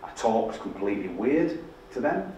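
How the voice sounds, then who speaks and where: A man's voice: on the low side, very animated, moderate pace, dark tone, a little hiss or hum. A middle-aged man with a thick Yorkshire accent, telling stories on stage in a blunt, sweary, self-deprecating way. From Yorkshire, England. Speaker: designer giving a public talk. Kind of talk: casual storytelling talk with heavy swearing. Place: dark theatre stage with projector screen. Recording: echoey hall heard from a distance.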